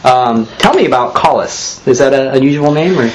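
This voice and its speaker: A man's voice: medium pitch, lively intonation, a little fast, a little hiss or hum, rough recording. Man interviewing with brief prompts. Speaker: newspaper interviewer. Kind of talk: interview questions.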